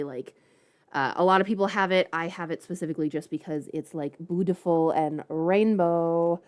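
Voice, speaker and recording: Lower-pitched woman, playful casual streamer. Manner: playful, informal, chatty asides. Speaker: livestream host. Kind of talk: casual livestream chat.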